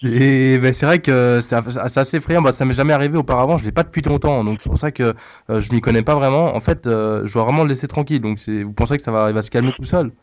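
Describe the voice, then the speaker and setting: A man's voice: mid-range voice, fast talker, darkish tone, lightly acted. A man playing an anxious, bumbling cat owner on a prank phone call, hesitant and stammering, repeating himself. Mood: worried. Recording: noisy phone prank recording.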